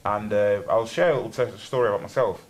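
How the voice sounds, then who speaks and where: A young man from Germany hosts a casual church interview, his voice sitting a little low. He wanders through his questions, circling and restarting before he gets to the point. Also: quick pace, poor audio. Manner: rambling, restarts questions often.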